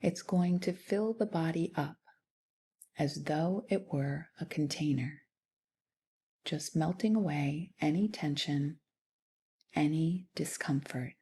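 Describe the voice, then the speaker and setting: A woman guides a meditation in a low, gentle voice. Her tone is mellow and breathy, softening almost toward a whisper. She speaks soothingly, leaving long pauses between short phrases. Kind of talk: guided body scan meditation.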